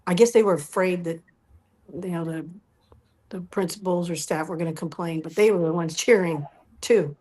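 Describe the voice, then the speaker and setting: A middle-aged woman telling animated personal stories as an interview guest, often acting out what people said. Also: fairly low pitch, normal speed. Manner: acts out quoted dialogue. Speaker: interview guest, musical performer.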